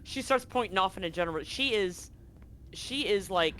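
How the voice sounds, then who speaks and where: A woman's voice: mid-range voice, lively intonation, moderate pace, a bit bright, rough recording. A woman game master improvising narration for a tabletop game, casually swearing, on a live stream with faint noise. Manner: casually profane.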